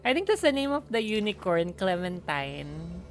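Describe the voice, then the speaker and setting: A woman's voice: lowish voice, sing-song, moderate pace. A woman with a Filipino accent chatting casually and thinking aloud as she streams a game. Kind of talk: casual live gameplay chat. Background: game music.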